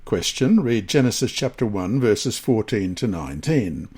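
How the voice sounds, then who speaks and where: A man with a slightly husky voice reads a scripted Bible study lesson in a measured, deliberate manner. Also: lowish voice, sing-song, a little mellow. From Australia.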